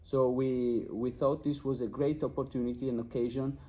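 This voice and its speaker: A man's voice: medium pitch, little pitch movement, normal speed, warm tone. A man acting as interviewer, speaking in the formal style of a research interview. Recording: faint background noise and ambience.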